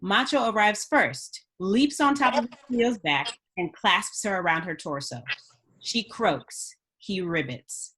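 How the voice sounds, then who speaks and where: A woman's voice: medium pitch, lively intonation, takes it slowly, fairly bright tone, slightly rough, poor audio. A woman narrating stage directions from a script in a scripted reading style.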